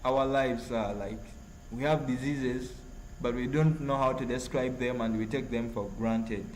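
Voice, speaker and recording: Ugandan guy chatting on a livestream, earnest n kinda halting, repeats himself, mid pitch, some noise behind him. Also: steady tone, poor audio. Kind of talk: casual live chat with viewers, reading out messages. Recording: noticeable background noise.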